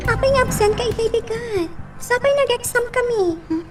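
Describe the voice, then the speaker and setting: A woman's voice: very high voice, normal speed, far from the mic, soft background music. A woman acting as an emotional, girlish schoolgirl in an Ilocano radio drama. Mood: chatty, probing.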